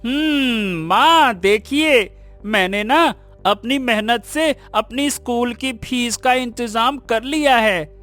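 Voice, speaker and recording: An actor playing an earnest, humble and repentant village schoolboy in a cartoon moral story. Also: male voice, very high-pitched, slow, fairly bright tone, soft background music, heavily acted. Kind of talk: animated moral story dubbing.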